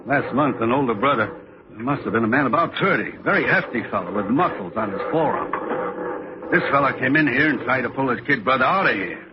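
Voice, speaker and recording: Man as resigned male bar owner, blunt, colloquial, mid-pitched, vintage radio drama, dulled audio. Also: animated, fairly quick, background noise, close to an everyday voice. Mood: weary, cautioning. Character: world-weary, resigned male roadside joint owner. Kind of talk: old radio drama acting. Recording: muffled vintage radio broadcast, music behind.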